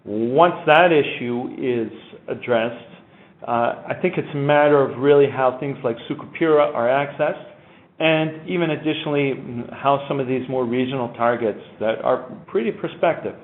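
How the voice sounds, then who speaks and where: A man's voice: medium pitch, moderate pace. A man speaking as a company executive on an earnings conference call. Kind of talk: earnings call presentation and answers. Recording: muffled phone-line conference audio.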